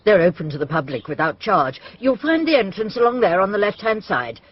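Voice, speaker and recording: A helpful country local from a radio play, chatty and obliging. The voice is husky and swings widely in pitch, on a slightly dull recording. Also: very animated, a little fast. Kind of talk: radio drama acting.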